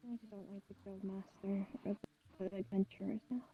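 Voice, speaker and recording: Distrustful, deadpan townswoman for a fantasy game acted by a woman, very even pitch, dry echo-free not required. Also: brisk, lightly acted. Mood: disgruntled, distrustful. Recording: dull, roomy online voice chat.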